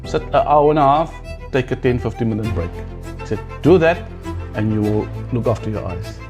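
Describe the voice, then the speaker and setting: Casting: man, late fifties, middle-pitched, sincere customer testimonial, unpolished and halting. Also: lively intonation, music in the background. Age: 50s. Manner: earnest, plain-spoken, halting with repeated words. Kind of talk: testimonial interview.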